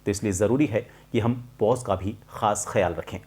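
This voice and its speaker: A middle-aged man explaining a lesson to viewers as a voice coach, in a deliberate, emphatic manner with clear pauses. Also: on the low side. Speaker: voice-over artist and voice coach hosting a tutorial.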